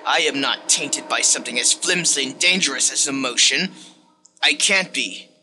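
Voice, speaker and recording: A man voicing a hateful, condescending machine-like game narrator, delivered as a dramatic reading with deliberate disdain. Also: medium pitch, background noise, close to an everyday voice. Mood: cold, hateful, then faltering, defeated. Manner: dramatic, disdainful, deliberate second-person address. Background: music.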